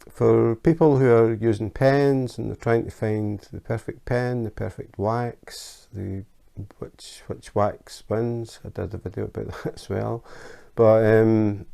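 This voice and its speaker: A Scottish man hosting a casual how-to craft vlog, chatty and relaxed, with frequent ums and uhs. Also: on the low side, gravelly. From Scotland. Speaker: YouTube craft channel host. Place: his home in Denmark.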